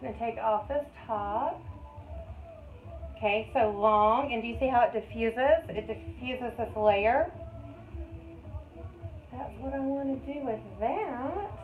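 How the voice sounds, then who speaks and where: A woman in her thirties, a hairstylist, talks through each cut in a chatty teaching voice, a little higher than most. She is recorded on a phone in an echoey salon, and the sound is thin and phone-like with a faint hiss behind it. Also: slow pace, long pauses. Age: 30s. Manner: chatty, explains step by step while working. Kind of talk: hair-cutting tutorial. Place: hair salon. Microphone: phone camera.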